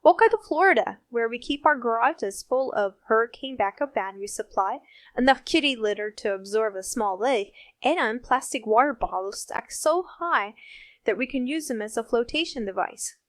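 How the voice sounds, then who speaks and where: A woman voicing a wry, put-upon thirteen-year-old cartoon wallaby girl through an AI voice changer, narrating in a deadpan, self-mocking way. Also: a little high, normal speed, no room sound, voice pushed up. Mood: wry, resigned.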